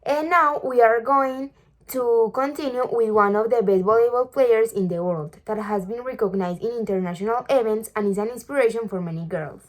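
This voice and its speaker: A woman's voice: on the high side, normal speed. A woman hosting an interview, reading introductions and questions from a script. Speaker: interview host.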